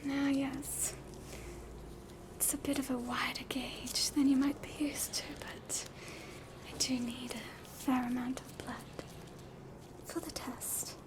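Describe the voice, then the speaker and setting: Aussie woman doing whispery asmr doctor roleplay, higher voice, gentle and reassuring, really unhurried. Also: little pitch movement. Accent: Australian. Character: soothing, spooky hospital doctor treating hauntings. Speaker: ASMR performer playing a doctor.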